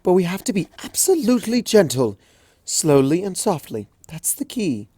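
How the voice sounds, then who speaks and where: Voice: medium pitch, very animated, moderate pace, dark tone, breathy edge, no echo, voice pushed up. A reader voicing a refined, fussy unicorn seamstress mare in a storybook reading, prim and genteel. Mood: soothing. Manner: prim, genteel, says darling. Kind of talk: audiobook fanfic reading.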